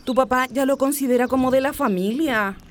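A woman's voice: sits high, brisk, slight background noise, natural voice. A woman playing a nosy, outspoken young friend in a radio drama. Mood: curious, pushy.

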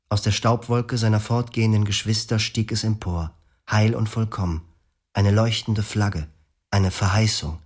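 A man's voice: lowish voice, close to an everyday voice. Actor as a brooding husband narrating his past. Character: grieving husband telling his story. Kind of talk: radio drama monologue.

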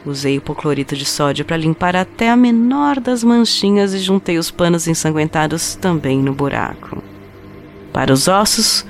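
A woman playing a macabre killer who recounts her crime in a deliberate, intimate way, in an audio horror drama. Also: on the low side. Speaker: voice actor narrating an audio drama. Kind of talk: dramatized first-person horror story narration. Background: background music.

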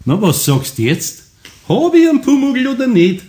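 An actor playing a good-natured older master carpenter in a radio play, talking in homely, gently teasing Bavarian dialect. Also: male voice, very high voice, wildly swooping pitch, normal speed, full character voice.

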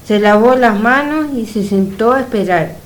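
Female narrator for storybook radio drama, fairly high pitch, scripted delivery. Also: lively intonation, normal speed.

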